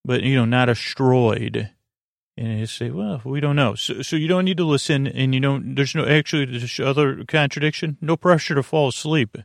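A man hosting a sleep podcast with a meandering, halting monologue that drifts off topic and trails off mid-sentence, in a creaky, gravelly voice. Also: mid-range voice, no echo, clean studio sound. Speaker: podcast host.

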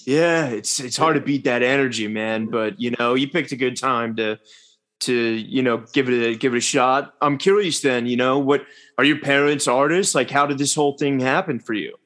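A man's voice: medium pitch, moderate pace, a bit bright. A man interviewing in a casual, slangy way, addressing his guest like a buddy.

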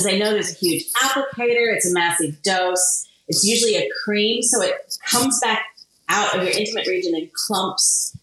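A woman from Kansas in her forties, answering interview questions in an animated way and laughing often. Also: mid-range voice. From Kansas, USA. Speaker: guest, product founder. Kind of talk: interview conversation. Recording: very echoey room, faint noise.

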